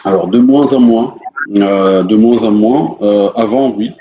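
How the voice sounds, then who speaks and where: A man's voice: fairly low pitch, unhurried, phone-quality sound. A man from western Ukraine whose first language is Ukrainian, speaking French as an interview guest with many hesitations and restarts. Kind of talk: casual interview answers.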